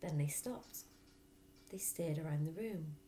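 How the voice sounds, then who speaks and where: A woman reading a children's story aloud like a teacher, in expressive narration. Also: deep voice, a little slow, a little hiss or hum.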